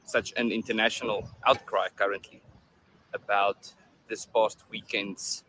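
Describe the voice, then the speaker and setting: Afrikaans-accented man, bright measured voice. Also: fairly low pitch, flat delivery, a little hiss or hum, rough recording. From South Africa. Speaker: commentator in a played video. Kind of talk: prepared video statement.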